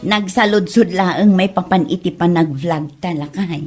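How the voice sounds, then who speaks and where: A woman's voice: lowish voice, unhurried, darkish tone, faint music behind, close to an everyday voice. A woman playing an easygoing married vlogger in an Ilocano comedy audio drama, conversational and reassuring, with an Ilocano Filipino accent. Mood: unbothered.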